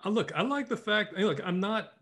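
A man's voice: high voice, animated, fairly bright tone. A man co-hosting a sports podcast, talking casually and often restarting his sentences. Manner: halting, many restarts. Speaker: podcast co-host.

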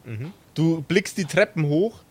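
A man's voice: a little high, expressive, unhurried, a little mellow, slightly rough. A man acting as game master, narrating an improvised tabletop game and bantering casually.

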